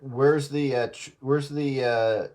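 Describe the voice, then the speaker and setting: A younger man chatting casually among friends, cheeky and a bit halting. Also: mid-range voice, fairly even intonation, darkish tone. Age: young adult.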